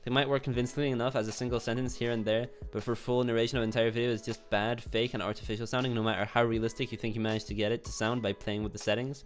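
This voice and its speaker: A young man from Poland with a Polish accent giving informal, sweary YouTube commentary and reading comments aloud. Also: mid-range voice. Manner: informal, swearing, chuckles between remarks.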